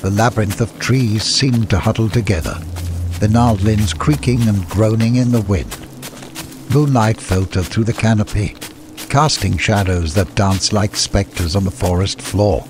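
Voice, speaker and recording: A man's voice: fairly low pitch, normal speed, background noise. A man reading a scripted fantasy story as an audiobook narrator. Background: music.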